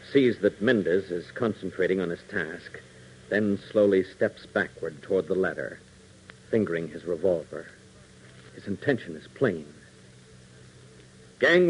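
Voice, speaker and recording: A man's voice: on the low side, a little mellow. An American man narrating a 1940s radio adventure serial in a dramatic, declamatory announcer style. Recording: muffled, hissy 1940s radio transcription.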